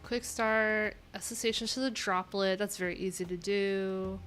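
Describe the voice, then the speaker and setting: A woman's voice: mid-range voice, fairly even intonation, moderate pace, fairly bright tone. Casual rambling woman streamer, faint music behind. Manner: informal, rambling, thinking aloud, swearing casually. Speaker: live streamer. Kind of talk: casual live tech stream commentary.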